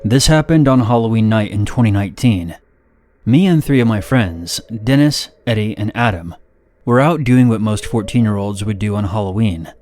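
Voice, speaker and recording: A man reading first-person horror stories in a steady, measured, somber narration. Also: fairly low pitch.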